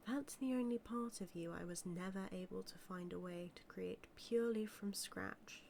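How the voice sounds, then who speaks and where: A woman with a British accent playing a doting, villainous mad scientist in an audio roleplay, musing in a measured, condescending yet tender way. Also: mid-range voice, creaky, a little mellow, slight background noise, lightly acted. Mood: proud. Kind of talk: scripted audio roleplay monologue.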